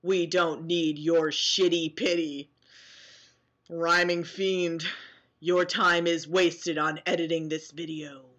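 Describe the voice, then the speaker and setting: A woman's voice: lowish voice, little pitch movement, takes it slowly, a bit bright, lo-fi sound. A woman in her twenties vlogging to a webcam, mixing casual sarcastic commentary with mock-dramatic, theatrical reading. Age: 20s. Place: small dim bedroom, indoors.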